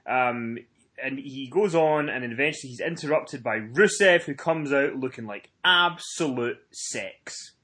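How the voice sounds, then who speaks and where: A man's voice: medium pitch, a little slow, bright, forceful tone. A man co-hosting a casual review show, talking in an emphatic, effusive, informal way as a fan. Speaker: podcast co-host reviewing wrestling. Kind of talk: casual chat, fan commentary.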